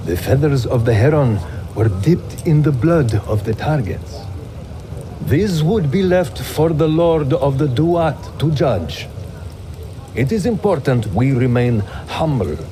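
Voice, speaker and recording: Solemn assassin elder. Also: male voice, medium pitch. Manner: solemn storytelling. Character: grave male assassin elder telling lore. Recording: noisy ambience behind.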